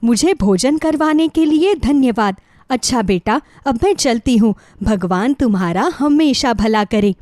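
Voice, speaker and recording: A woman voicing a hungry, humble old woman who pleads and gives blessings in an animated children's story. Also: a little high, a little slow, no room sound, studio quality. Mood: grateful. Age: older.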